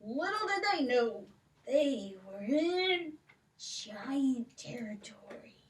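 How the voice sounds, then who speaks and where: A woman librarian narrating a fairy tale to children in a slow, sing-song storyteller manner. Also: sits high, big pitch swings, distant mic.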